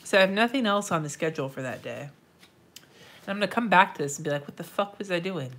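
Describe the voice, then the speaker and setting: A woman's voice: fairly low pitch, lively intonation, fast talker, fairly bright tone. A woman with a stuffy, nasal voice, chatting casually and muttering to herself while decorating a planner on a livestream, answering viewers and swearing now and then. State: congested, nasal from a stuffy nose. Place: indoors at a desk.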